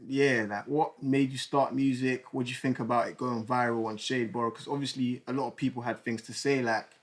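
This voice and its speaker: A man's voice: medium pitch, a little fast. Chatty young man hosting. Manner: relaxed, chatty, laughs easily. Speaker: podcast host. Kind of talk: casual chat.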